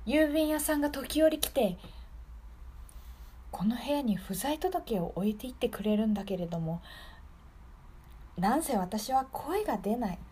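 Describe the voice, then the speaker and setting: Lady doing a scared trapped woman, radio drama style, high voice, big swings, halting and repeating herself. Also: animated, darkish tone. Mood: wistful, trapped. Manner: dramatic, halting, breathy at times, with emphatic repetition. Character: frightened voiceless woman locked in a room for months. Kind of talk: improvised radio drama monologue.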